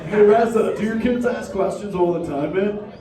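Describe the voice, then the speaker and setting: A man's voice: high-pitched, normal speed, natural voice. An actor voicing a laid-back male sea turtle dad who talks in surfer slang, drawling "dude" and "bro" to a live crowd. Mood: easygoing, playful. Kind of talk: live interactive show with a crowd. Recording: roomy echo, distant mic, crowd noise.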